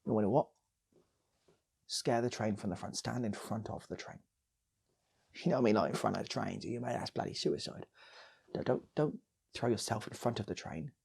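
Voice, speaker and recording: A man's voice: fairly low pitch, moderate pace, vocal fry, long pauses, darkish tone, slightly rough. A British man improvising a comic story, rambling and repeating phrases for effect as he goes. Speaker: channel host and storyteller.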